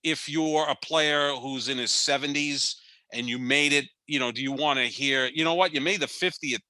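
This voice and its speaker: A man's voice: medium pitch, normal speed, sharp tone, no room sound. A man in his fifties co-hosting a sports podcast, giving opinionated, rambling takes in casual debate and often restarting his sentences. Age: 50s. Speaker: podcast co-host.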